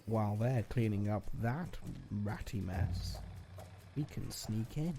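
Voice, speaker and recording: A man's voice: lowish voice, slow pace, creaky, background noise. A man streaming a game, chatting casually with wry joking asides.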